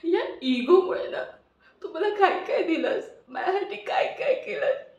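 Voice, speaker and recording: Actress doing a crying young woman begging forgiveness, slow and halting, roomy distant sound. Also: very high-pitched, sing-song, darkish tone, exaggerated voice. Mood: anguished. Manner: halting, tearful pleading with repeated phrases. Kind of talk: emotional audition monologue. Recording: roomy, muffled, distant mic.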